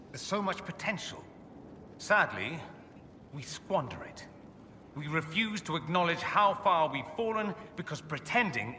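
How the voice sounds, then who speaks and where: Casting: vain, witty noble mage for a fantasy game; eloquent, arch, very animated delivery, reverberant hall sound. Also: male voice, on the high side, a little hiss or hum, exaggerated voice. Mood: earnest, impassioned. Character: witty, vain, aristocratic male mage exiled from his homeland. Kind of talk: scripted video game character dialogue.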